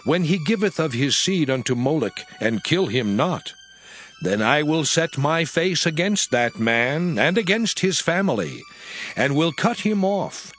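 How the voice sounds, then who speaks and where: A man playing God as a stern lawgiver, declaiming archaic scripture in a solemn, measured, emphatic way. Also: on the high side. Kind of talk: dramatized scripture reading in archaic English. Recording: music under the voice. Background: music.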